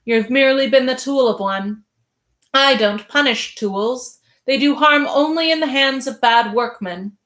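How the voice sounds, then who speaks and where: Lady putting on a shrill paranoid king, snarly and bitter, spits insults, kinda high, echoey room. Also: a little slow, fairly bright tone. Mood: ranting. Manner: ranting, snarling, spitting out insults. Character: unhinged arrogant male king, bitter and paranoid.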